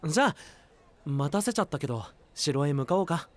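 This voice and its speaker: Man acting lively, exasperated boyish young knight. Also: fairly high pitch, lightly acted. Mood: fondly resigned. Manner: brisk, boyish, exasperated retorts. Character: young male knight, exasperated, diligent royal aide. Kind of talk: anime-style voice drama acting.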